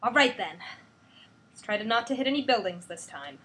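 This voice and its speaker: Girl reading as a strict dad-type team leader guy, firm, lecturing, kinda loud. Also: medium pitch, very animated, a little fast. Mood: stern. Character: stern male team leader, protective father figure.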